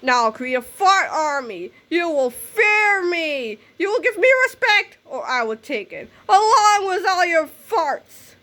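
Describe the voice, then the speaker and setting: A woman's voice: very high-pitched, very animated, measured pace, few pauses, sharp tone, voice pushed up, exaggerated voice. A woman voicing a menacing comic-book villain, boastful and commanding.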